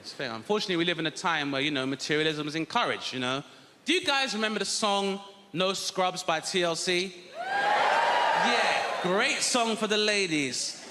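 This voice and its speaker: A man doing a stand-up routine on a theatre stage, sardonic with deadpan asides to the crowd. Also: high-pitched, moderate pace. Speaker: stand-up comedian. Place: large theatre stage with audience. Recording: live theatre with long reverb and noise.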